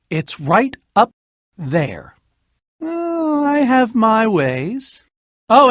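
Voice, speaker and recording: A man's voice: sits high, a bit bright, no room sound, studio quality, full character voice. A man voicing an eccentric, boastful yet nervous inventor and adventurer in a video game, theatrical and wordy with hesitant asides. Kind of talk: video game character voice acting.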